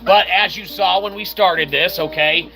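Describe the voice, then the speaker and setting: A man's voice: high-pitched, measured pace, music in the background, low-quality recording. A man giving casual, joking game commentary in wordy, mock-formal phrases, tossing in playful vocal sound effects. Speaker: host of a gameplay video channel.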